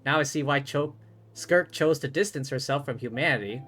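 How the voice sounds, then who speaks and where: Casual streamer, informal musing, game story reactions, middling pitch, faint music behind. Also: normal speed, a bit bright.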